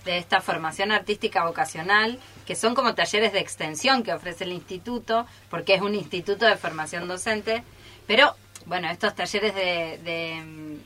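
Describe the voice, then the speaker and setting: Mujer argentina de voz brillante. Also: female voice, fairly low pitch, normal speed, sharp tone. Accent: Argentine Spanish. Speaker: theatre workshop teacher. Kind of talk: casual radio chat. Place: radio studio, live broadcast.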